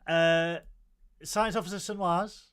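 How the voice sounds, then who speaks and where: A man with a northern English accent hosting a podcast, excitable and theatrical, swearing casually. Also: sits high, takes it slowly. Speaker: podcast host. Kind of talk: casual chat.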